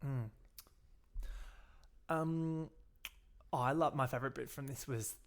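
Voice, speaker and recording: A man's voice: medium pitch, slow pace. An Australian man chatting in a camp, gossipy way on a TV recap podcast, laughing often. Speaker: podcast co-host. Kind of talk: casual gossipy recap chat.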